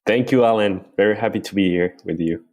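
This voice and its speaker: Low-voiced man. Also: brisk, darkish tone. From Colombia. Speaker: guest, company founder. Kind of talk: interview answers.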